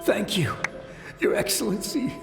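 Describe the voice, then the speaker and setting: Echoing, anguished samurai soldier, high-pitched. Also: male voice, sing-song, a little slow, gravelly, full character voice. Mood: awed gratitude. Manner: pleading, urgent, fervent. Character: anguished ghostly memory of a desperate samurai soldier. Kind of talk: dramatic video game voice acting. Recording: echoey long reverb, music behind. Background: game music.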